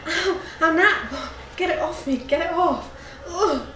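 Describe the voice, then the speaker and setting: A woman voicing a jumpy, excitable young boy who squeals and pleads when frightened. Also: very high voice, takes it slowly, pitched above the performer's own voice, heavily acted. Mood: panicked. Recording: roomy with background noise.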